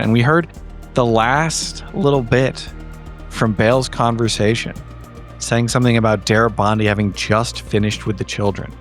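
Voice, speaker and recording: A man recapping a tabletop game in a casual, comedic way, with a droll, wordy delivery. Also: mid-range voice. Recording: music under the voice.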